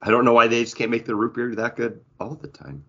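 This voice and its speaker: A man's voice: medium pitch, steady tone, fast, bright, forceful tone, dull audio. A man chatting casually and bantering as a podcast co-host. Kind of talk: casual chat.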